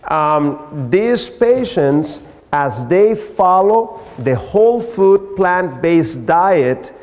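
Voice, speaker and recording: A high-voiced man delivers a health lecture in a big reverberant hall. He speaks slowly and emphatically, posing questions to his listeners as he explains. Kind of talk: health lecture with slides at a church. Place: large church hall. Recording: echoey hall with long reverb.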